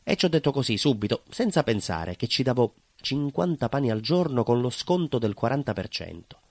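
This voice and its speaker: A nostalgic southern Italian baker, tells his story as a theatrical monologue in a mid-pitched, very animated voice. He is proud and naive, rambling in everyday speech and leaning hard on his points. The sound is dry, with no room around it. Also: brisk, husky, dry recording. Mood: naive storytelling. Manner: colloquial, rambling, emphatic, repeats himself. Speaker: stage actor.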